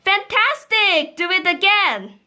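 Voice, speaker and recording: A woman voicing a bold, cunning young princess from a storybook, exclaiming and flattering. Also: extremely high pitch, takes it slowly, pitched above the performer's own voice, exaggerated voice. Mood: awed flattery. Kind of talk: storybook character voice.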